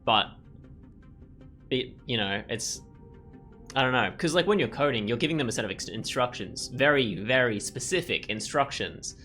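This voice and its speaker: A man's voice: mid-range voice, animated, moderate pace, sharp tone. A man giving casual let's play commentary, thinking aloud in a rambling, musing way. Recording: faint game music behind.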